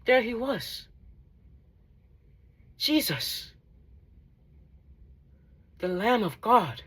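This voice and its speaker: A man playing a devout former tax collector in a dramatic monologue, giving earnest, measured testimony. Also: high voice, big pitch swings, bright, forceful tone, slightly rough, voice pushed up, heavily acted. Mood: anguished reverence. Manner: earnest, measured, emphatic storytelling.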